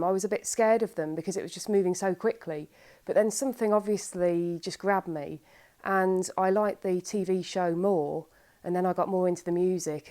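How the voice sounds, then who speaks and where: Middle-aged woman fan, lowish voice, earnest, interview. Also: darkish tone. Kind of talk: personal interview.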